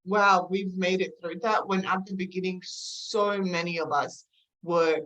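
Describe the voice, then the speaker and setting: A woman with a mixed Eastern European and Australian accent, telling her story in a halting, reflective way as an interview guest. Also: lowish voice, steady tone, a bit bright, rough recording. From former Yugoslavia, moved to Australia at eleven. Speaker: podcast guest, counselor.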